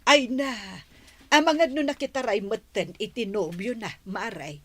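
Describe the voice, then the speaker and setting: Village gossip for radio drama woman acting: prying, insinuating busybody, high-pitched and very animated. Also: normal speed. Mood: gossipy, prying.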